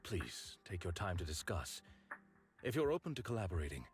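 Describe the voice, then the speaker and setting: A man voicing a composed, courteous, formal envoy in a video game, speaking politely and in a measured way. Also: low voice, very animated, faint music behind. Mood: courteous, businesslike.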